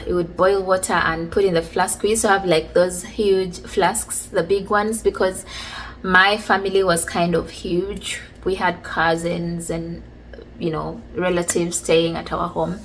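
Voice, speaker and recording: A woman, casually telling stories from her childhood in a chatty, relaxed way. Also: on the low side, slight background noise, poor audio. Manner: chatty, relaxed, many fillers like "like" and "you know". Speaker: vlogger. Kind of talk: casual storytelling about childhood.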